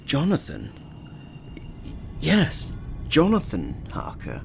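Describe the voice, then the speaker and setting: A man's voice: on the high side, wildly swooping pitch, vocal fry, faint music behind, full character voice. An actor playing a deranged, fearful male asylum patient who stammers and speaks of himself as a servant in an audio drama. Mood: wary, giddy. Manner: halting, stammering, muttering in third person. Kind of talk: theatrical audio drama acting.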